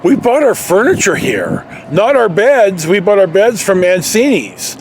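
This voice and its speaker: A middle-aged man telling a casual anecdote in a rambling, halting way, often repeating words and losing his thread. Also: high voice, big pitch swings. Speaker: storytelling vlogger, former alarm company guard. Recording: clear outdoor noise, passing traffic.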